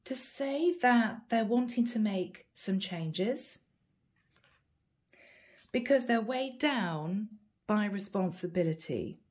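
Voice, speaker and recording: A woman with a head cold giving a tarot card reading, musing softly and thinking aloud as she goes. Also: medium pitch, animated, slow pace, long pauses. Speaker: tarot reader.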